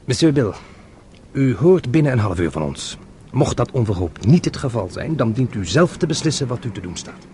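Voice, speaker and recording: Suave, animated male detective played by an actor. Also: medium pitch, moderate pace, natural voice. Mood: formal, alert. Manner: formal, wry. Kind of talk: Dutch radio play acting. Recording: noisy radio drama recording.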